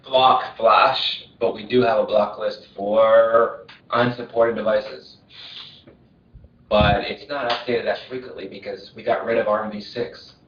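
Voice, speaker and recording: An upbeat, chatty man with a lowish voice, sitting some distance from his mic. His sound bounces around an echoey room and comes through dull and muffled. He often laughs while he talks. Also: fairly even intonation, measured pace, slight background noise. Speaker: Android support lead. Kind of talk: casual meeting update.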